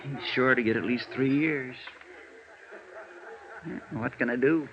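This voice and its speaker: Weary, earnest lawyer, vintage radio. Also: male voice, medium pitch, takes it slowly. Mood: frustrated, earnest. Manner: earnest, downcast, hesitant. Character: weary, conscientious male defense lawyer, troubled by his client. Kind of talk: 1950s radio drama dialogue. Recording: dull, faintly noisy old radio recording.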